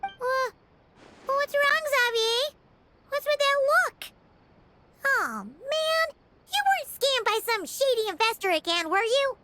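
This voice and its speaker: A squeaky, excitable little companion. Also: female voice, takes it slowly, long pauses, slight background noise, heavily acted. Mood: curious. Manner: eager, bouncy questions. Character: tiny chirpy floating companion, excitable and nosy. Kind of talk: game cutscene voice acting. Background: music.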